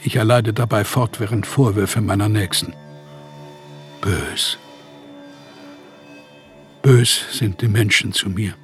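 An actor playing a despairing, bitter exiled writer reading out his letters slowly and wearily in a radio play. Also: on the low side, steady tone, soft background music. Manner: slow, weary, emphatic delivery of letters. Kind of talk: literary radio play monologue.

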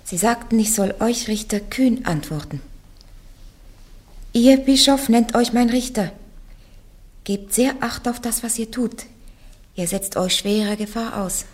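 A woman's voice: a little high, unhurried, long pauses, lightly acted. An actress in a German radio play playing a young peasant woman on trial, fearless and defiant, plain and curt in her answers. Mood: bold. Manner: plain, matter-of-fact, curt when questioned.

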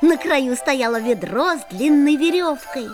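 A woman voicing a little storybook narrator, telling a children's fairy tale in an expressive scripted way. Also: high-pitched, a little slow, over music. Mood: storytelling.

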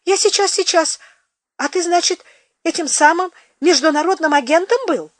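A woman's voice: sing-song, normal speed, a bit bright, no room sound, clean studio sound. A woman voicing a frightened, fawning peasant man who talks in a nervous, ingratiating way. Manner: nervous, high-pitched, ingratiating.

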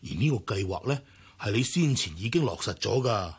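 A husky, sighing older company director. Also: male voice, on the low side, sing-song, brisk, full character voice. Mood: urgent, pleading. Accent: Hong Kong Cantonese. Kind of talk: radio drama acting.

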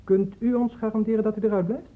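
A man's voice: high-pitched, steady tone, quick pace, lightly acted. An actor in a Dutch radio play voicing a defiant, cocky young man who talks in a curt, challenging way. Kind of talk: Dutch radio drama acting. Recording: muffled phone-like old radio recording.

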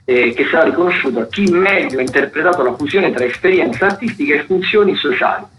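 A man's voice: on the high side, expressive, quick pace, a bit bright, a little hiss or hum. A man hosting a radio show, presenting the week's theatre events with many hesitations, fillers and restarts. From Italy. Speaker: radio host. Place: radio studio.

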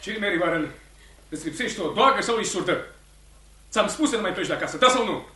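An actor playing a stern, strong married farmer in a radio drama, gruff and firm in manner. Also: male voice, high voice, animated, sharp tone, exaggerated voice. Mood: angry, scolding. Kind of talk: Romanian radio theatre acting.

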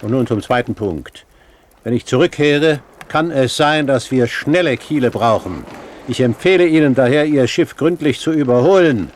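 An actor playing a cool, haughty older gentleman pirate captain in a German radio play, speaking formally and with measured authority. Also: mid-range voice, expressive, slight background noise, lightly acted. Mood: cool, commanding.

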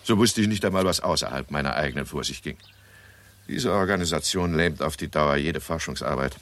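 A curious, skeptical scientist in a 1960s radio play. The voice is deep, and the delivery is measured and wry, with a probing edge. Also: male voice, fairly bright tone, dry recording. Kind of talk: 1960s German radio drama acting.

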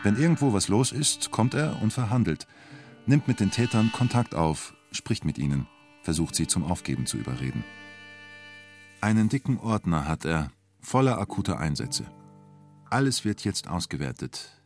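Low-voiced male documentary narrator. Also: expressive, normal speed, music in the background.

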